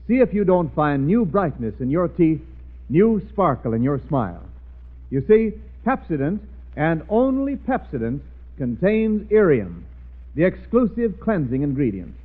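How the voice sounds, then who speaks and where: An American male announcer delivers an emphatic, polished 1940s radio sales pitch. His voice is fairly high and lively, heard through a very tinny, narrow old recording. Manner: emphatic, polished, deliberate stresses.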